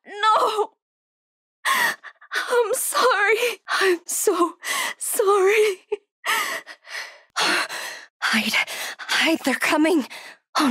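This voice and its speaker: A woman's voice: very high voice, pushed and bright, no room sound, clean studio sound, exaggerated voice. An actress playing a frightened young woman survivor in a horror video game, urgent and pleading. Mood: grieving, horrified, then afraid, pleading. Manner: breathy urgent self-talk, pleading.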